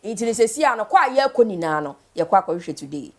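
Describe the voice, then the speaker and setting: A woman with a Ghanaian accent hosting a casual, chatty TV interview, informal and animated, switching between English, Pidgin and a local language. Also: fairly high pitch, a little fast. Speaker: TV morning show host.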